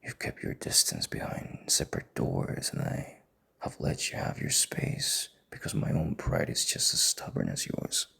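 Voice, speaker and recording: A man playing a proud, stubborn king in an intimate audio drama, commanding, with breathy, gravelly half-whispers. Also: extremely low pitch, very animated, measured pace, sharp tone, heavily acted. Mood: bitter. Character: proud, stubborn, commanding young king, bitter rival husband.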